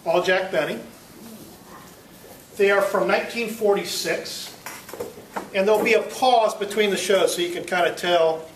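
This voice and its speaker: A man's voice: high voice, unhurried, pauses often. An American man giving an informal introduction as a presenter at a club meeting. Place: meeting room. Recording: echoey room, distant mic, faint noise.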